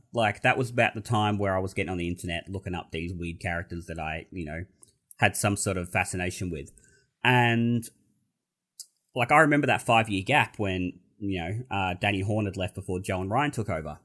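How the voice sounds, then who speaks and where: Australian man in his 30s, nasal, low-pitched, self-deprecating podcast guest on a call. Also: fairly quick, a bit bright. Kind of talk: casual chat. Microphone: video call.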